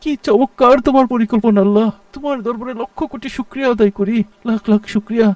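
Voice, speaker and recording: A man's voice: very high voice, fairly even intonation, fast talker, darkish tone, no echo, recorded from a distance. A man voicing a kind, devout rescuer in a cartoon, earnest and emphatic. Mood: awed, thankful. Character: kind, devout male passer-by rescuing a stranded woman. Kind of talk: dramatic cartoon dialogue.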